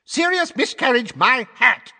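A man voicing a pompous, blustering middle-aged male minister who snarls and shouts. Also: high voice, big pitch swings, normal speed, voice pushed up, full character voice. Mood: shouting. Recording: long reverb.

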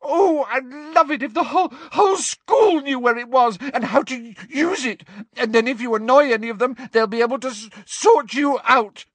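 A woman's voice: sits high, normal speed, dry recording, voice pushed up. A woman voicing a sobbing, stammering schoolgirl. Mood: tearful stammer. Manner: stammering, tearful. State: crying.

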